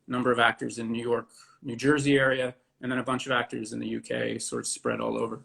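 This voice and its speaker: A man giving casual interview answers as a theatre director, halting and deliberate in short phrases. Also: medium pitch, level tone, creaky, a bit bright.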